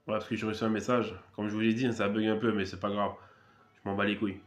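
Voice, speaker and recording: A man's voice: lowish voice, quick pace, roomy sound. Stuffy-voiced man with a cold. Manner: relaxed, rambling, many fillers and restarts. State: has a cold. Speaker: gaming channel host. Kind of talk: casual gameplay commentary.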